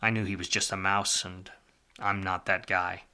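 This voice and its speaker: An actor playing a wry, world-weary hardboiled private detective in a 1940s film noir radio play, full of slang. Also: male voice, deep voice, level tone, normal speed, bright, forceful tone, clear, resonant tone, full character voice. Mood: rueful, wry.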